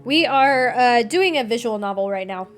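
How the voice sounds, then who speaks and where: A woman's voice: on the high side, animated, normal speed. A woman live streamer giving casual game commentary in short, wry, playful asides. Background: music.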